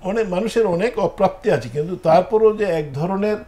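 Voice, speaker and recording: A man's voice: high voice, brisk, mellow. A man from Gazipur with a Bangladeshi accent, talking conversationally as a guest on a talk show. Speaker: guest singer.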